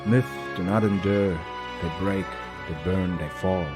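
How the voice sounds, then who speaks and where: A man narrating a cinematic fantasy audio drama in a solemn, dramatic manner with short measured phrases. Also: low voice, fairly even intonation, loud music behind the voice.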